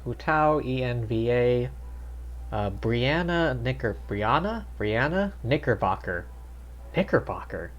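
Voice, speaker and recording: Man, game streamer, casual live commentary, chatty, slow delivery. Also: medium pitch, sing-song, dull audio. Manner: chatty, reacting aloud, laughing. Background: faint game music.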